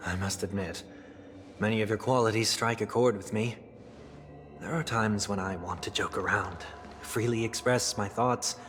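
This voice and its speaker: Low-voiced, formal, introspective gentleman played by a man. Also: close to an everyday voice. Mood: sincere, formal. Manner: measured, formal, earnest. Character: refined, introspective man of rigid, stately discipline. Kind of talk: scripted dramatic game dialogue. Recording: background music under voice.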